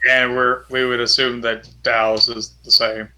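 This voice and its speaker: A man improvising in character at a tabletop game, giving advice in a measured way. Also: medium pitch, flat delivery, pushed and bright. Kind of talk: improvised in-character role-play.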